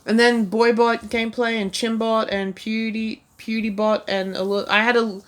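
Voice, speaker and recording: A woman's voice: on the high side, little pitch movement, moderate pace. Rambling woman vlogger, full of "like". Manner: rambling, heavy use of "like" and "um"; self-deprecating. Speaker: YouTuber reviewing her own videos. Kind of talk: casual rambling vlog.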